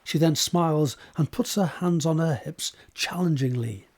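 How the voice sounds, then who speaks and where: A man reading scripted scene descriptions as a narrator, in a measured, descriptive way. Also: fairly high pitch, a little mellow. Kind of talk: scripted narration of stage directions.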